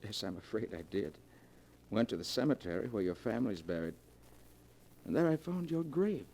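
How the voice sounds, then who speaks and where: An actor playing a haunted, fearful husband with anxious melodrama, in the manner of 1940s radio acting. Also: male voice, fairly low pitch, animated, normal speed, creaky, dark tone. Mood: shaken, confiding. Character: haunted, panicky husband, guilt-ridden and fearful.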